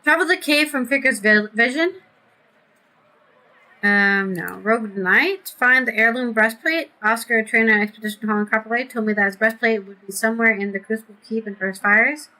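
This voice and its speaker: A woman's voice: on the high side, normal speed, pushed and bright, low-quality recording. A woman casually commenting on a game she is playing and reading its text aloud, chatty and jokey. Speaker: game streamer. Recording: voice over game music.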